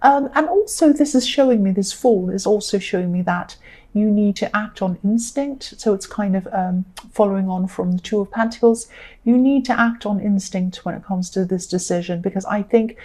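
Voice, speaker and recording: A woman with a slightly croaky voice giving a rambling, reassuring tarot reading in a casual spoken style. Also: mid-range voice, normal speed, warm tone. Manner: rambling, reassuring, lots of ums and restarts. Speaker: tarot reader.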